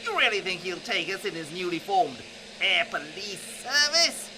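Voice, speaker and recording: A woman playing a jittery young British airman, excitable and full of jolly slang in a comic radio play. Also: on the low side, normal speed, noisy background. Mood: anxious.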